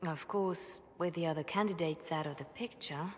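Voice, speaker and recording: A woman playing a reflective, secretive devout figure in a fantasy game, speaking in a hushed, pensive way. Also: low-pitched, normal speed, close to an everyday voice. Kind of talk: dramatic video game dialogue. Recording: long hall reverb, background noise.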